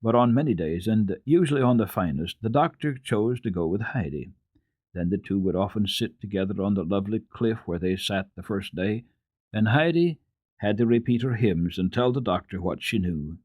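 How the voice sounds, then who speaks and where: A man narrating children's literature as a radio storyteller, reading dramatically in an expressive, deliberate way. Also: on the low side, no room sound.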